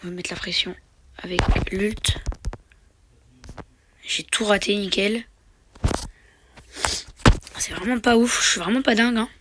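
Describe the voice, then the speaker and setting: A young man commenting on his own mobile gameplay, with a sore throat and a slight cold, switching between excited slangy cheering and quiet muttering under his breath. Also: sits high, measured pace, pauses often, noisy background. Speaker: mobile game youtuber.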